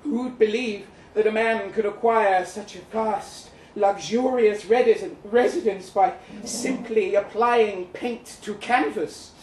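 Male reader as bitter witty young ex-soldier, theatrical, unhurried delivery, distant roomy live recording. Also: sits high, a little hiss or hum. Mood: wry, storytelling. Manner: theatrical, wry, florid. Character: bitter, witty crippled young Russian ex-soldier writing letters. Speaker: performer reading a short story aloud. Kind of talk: dramatic live literary reading in character. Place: live event venue in London, indoors.

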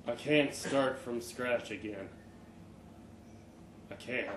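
A weary older father, drugged and delirious in a hospital bed, played by a man reading a story aloud. He pushes out his words haltingly and pleadingly, in a roomy, echoing space with faint noise. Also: mid-range voice, fairly bright tone, voice pushed down. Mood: desperate, pleading. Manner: halting, laboured, pushing words out.

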